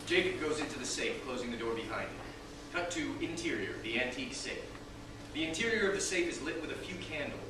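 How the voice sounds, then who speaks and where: A man's voice: fairly high pitch, expressive, a little fast. A man reading scripted scene directions as narrator, recorded at a distance in a very echoey, noisy room.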